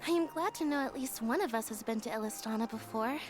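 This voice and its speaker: A woman voicing a gentle, curious young female companion in a fantasy video game, speaking politely and carefully. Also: on the high side, fast, natural voice. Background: game music.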